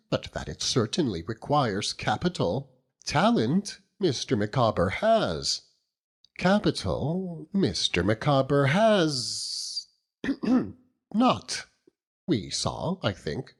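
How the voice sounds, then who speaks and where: A man's voice: medium pitch, slightly rough, pitched above the performer's own voice. A man voicing an earnest, self-important genteel wife and mother in an audiobook, lecturing in a pompous, declamatory way. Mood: lecturing, emphatic. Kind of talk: audiobook character voice.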